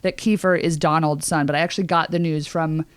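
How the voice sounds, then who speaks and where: A woman's voice: low-pitched, steady tone, brisk, clean tone, dry recording, clean studio sound. An American woman co-hosting a podcast, talking casually in an emphatic, opinionated and slangy way. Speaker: podcast co-host. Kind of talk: casual pop-culture chat.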